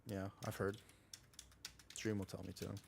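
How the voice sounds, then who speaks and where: A man's voice: low-pitched, little pitch movement, a little slow, creaky, a little mellow, a little hiss or hum. A man chatting casually and slangily as he livestreams an online game, talking to his viewers and teammates in a laid-back way. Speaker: live streamer playing an online game. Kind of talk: casual livestream chat while gaming.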